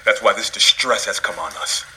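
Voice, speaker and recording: A grave, earnest brother in an audio scripture drama. The voice sits fairly low yet is strikingly sharp, with faint ambience behind it. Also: male voice, normal speed, slight background noise, poor audio. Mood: remorseful. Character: remorseful, earnest brother in ancient Canaan.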